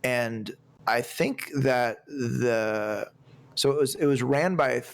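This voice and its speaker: A man in his twenties chats loosely on a movie podcast, circling back and restarting his sentences. His voice sits mid-pitch with a gravelly, creaky edge. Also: slow pace. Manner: rambling, many restarts and fillers. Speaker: podcast co-host. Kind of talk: casual movie podcast chat.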